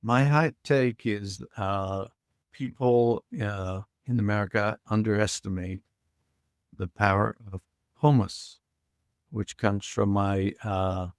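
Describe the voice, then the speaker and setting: A man's voice: lowish voice, fairly even intonation, slow pace, clear, resonant tone, no echo, studio quality. A middle-aged man from Israel, talking as a podcast guest with frequent hesitations and filler sounds. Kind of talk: casual interview talk.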